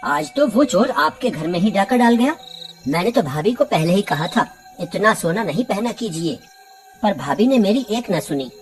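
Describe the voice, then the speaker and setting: A man's voice: sits high, animated, moderate pace, over music. A man playing a worried, exasperated villager in a cartoon story, complaining in a dramatic, fretful way.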